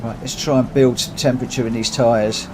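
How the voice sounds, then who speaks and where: A man's voice: mid-range voice, fairly even intonation, brisk, noisy background. Man with a cold, rambling game commentary. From United Kingdom. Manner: rambling, thinks aloud, corrects himself often. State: has a cold, runny nose. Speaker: streamer. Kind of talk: live racing game commentary.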